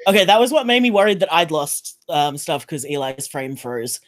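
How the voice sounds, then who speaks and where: A man's voice: fairly high pitch, sing-song, moderate pace, no room sound. Man speaking on a panel, dry sound. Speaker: podcast panelist. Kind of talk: casual, explanatory panel talk. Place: remote video call.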